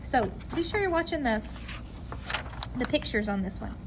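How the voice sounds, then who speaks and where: A woman reading a picture book aloud to children, pausing to point young listeners to the pictures. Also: on the high side, expressive, noisy background.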